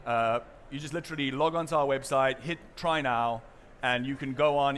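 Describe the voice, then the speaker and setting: A man's voice: a little high, fairly bright tone, a little hiss or hum. A South African man giving a conference seminar talk on a main stage, casual and chatty, with fillers and restarts. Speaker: presenter.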